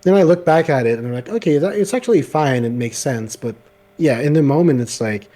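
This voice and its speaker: A man whose first language is French, chatting casually about making videos; his voice is slightly gravelly, he hesitates and fills with "like", and he is picked up on a laptop microphone. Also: fairly high pitch, expressive, fairly quick, mellow. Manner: hesitant, many "like" fillers. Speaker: guest video creator. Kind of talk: casual podcast chat.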